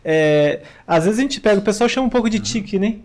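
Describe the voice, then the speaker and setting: A man co-hosting a podcast, soft-spoken, whose voice tends to fade at the end of sentences. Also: high voice, animated, quick pace. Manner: soft-spoken, trails off quietly. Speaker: podcast co-host. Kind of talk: casual podcast chat.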